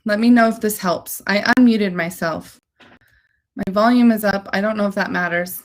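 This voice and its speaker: Woman host, low-voiced and chatty, gushes with enthusiasm through a casual livestream. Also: normal speed. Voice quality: low-pitched voice.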